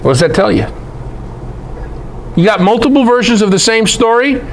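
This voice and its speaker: A man's voice: high-pitched, expressive, normal speed, slight background noise. A man giving an informal, irreverent scripture lecture in an emphatic way, often putting rhetorical questions to his listeners. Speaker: Bible teacher, presenter.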